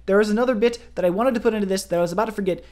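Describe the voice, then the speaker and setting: A woman's voice: mid-range voice, racing, dark tone. A woman game streamer giving a ranting commentary, emphatic and repeating phrases for emphasis.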